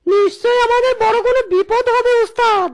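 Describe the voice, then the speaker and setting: Squeaky, very high-pitched cartoon fox bandit. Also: female voice, fairly quick. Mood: agitated. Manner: exclamatory, repetitive, pleading and blustering. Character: excitable cartoon fox bandits, blustering but cowardly. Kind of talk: Bengali animated cartoon acting.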